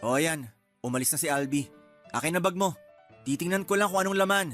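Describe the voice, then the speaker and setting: A man's voice: a little high, a little fast, close to an everyday voice. A man acting a cocky young Filipino rival in a radio drama. He needles in a mocking, bossy way with a Filipino accent, over a music bed. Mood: teasing, mocking.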